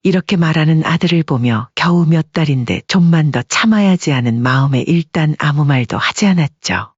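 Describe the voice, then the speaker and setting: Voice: mid-range voice, animated, normal speed, breathy edge, no room sound, lo-fi sound. A narrator reading a scripted family story in a storytelling style.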